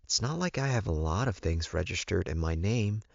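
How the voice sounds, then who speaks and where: A man's voice: deep voice, lively intonation, moderate pace, gravelly, no echo, dull audio, heavily acted. A man voicing a modest, polite young woman, an earnest werewolf heroine in an audiobook. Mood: musing.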